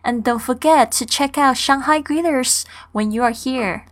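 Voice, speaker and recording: A woman in her thirties, originally from Taiwan, introduces her own podcast in a fairly high voice. She reads a prepared script at an even pace, earnest and upbeat, in the manner of a scripted show opener. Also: a little slow. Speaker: podcast host.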